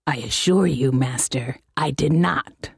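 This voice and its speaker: A woman voicing a bored, cheeky male laundry demon servant in a comic fantasy audiobook, deadpan and formally insolent. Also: extremely low pitch, a little slow, creaky, breathy edge, no echo, studio quality, exaggerated voice. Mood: sardonic.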